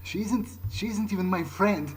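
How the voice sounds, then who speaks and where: A man with a slightly high voice improvises as his game character, thinking out loud. He is hesitant and repeats himself. Background noise and ambience sit behind him. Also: normal speed, poor audio. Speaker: gameplay host, role-playing his character.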